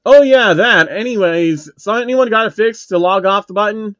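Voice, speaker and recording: A man's voice: high voice, moderate pace, fairly bright tone, clear, resonant tone, no echo, lo-fi sound, pitched above the performer's own voice. A man voicing a cocky, hot-tempered male game streamer from a story, whining and complaining indignantly.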